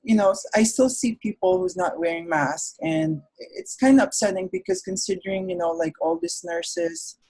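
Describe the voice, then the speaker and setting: A man from the Philippines, a nurse's aide, talking casually over a video call, soft-spoken and full of "you know" fillers. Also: sits high, expressive, moderate pace, vocal fry, hardly any pauses, breathy edge, low-quality recording. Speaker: nurse's aide recovering from illness, interview guest. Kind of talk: casual interview conversation.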